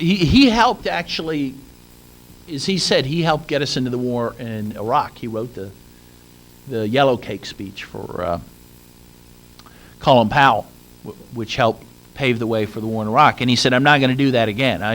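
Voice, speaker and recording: A man's voice: mid-range voice, a bit bright, low-quality recording. A man speaking on a conference panel, earnest and with frequent fillers and restarts. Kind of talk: conference talk. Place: conference room.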